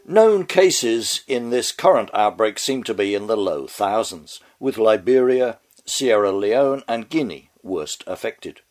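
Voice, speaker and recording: An older man reads a scripted commentary while fighting a bad head cold, his voice on the edge of giving out. He talks slowly in a lowish register, with a dry, wry, mannered delivery. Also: lively intonation, darkish tone. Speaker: podcast host.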